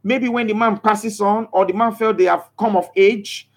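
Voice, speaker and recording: A man's voice: sits high, fairly even intonation, normal speed. Preachy man exhorting a live audience. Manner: exhortative, repeats phrases for emphasis; reads out and answers viewer comments. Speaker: live broadcaster promoting a crypto scheme. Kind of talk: motivational live broadcast, preachy.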